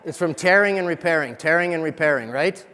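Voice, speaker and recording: A man working as a fitness trainer, giving an energetic coaching demo of equipment in a large gym. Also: on the high side, crowd noise. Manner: energetic, coaching, rapid explanations. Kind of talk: live gym demonstration. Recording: long reverb of a big gym.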